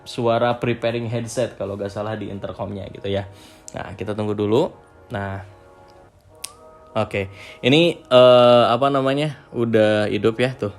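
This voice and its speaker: A man giving a casual, off-the-cuff product review, hesitating often with filler phrases. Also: fairly low pitch. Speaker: vlog host reviewing gear.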